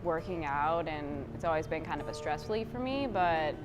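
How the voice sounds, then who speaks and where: Woman, 30s, earnest, reflective, deep voice, music underneath. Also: lively intonation, moderate pace. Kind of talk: interview testimonial.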